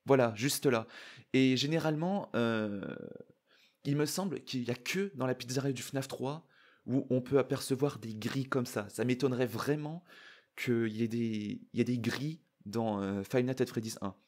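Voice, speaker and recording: A man giving casual, excitable, slangy reaction commentary. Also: medium pitch, normal speed. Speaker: YouTuber reacting to and analysing a trailer.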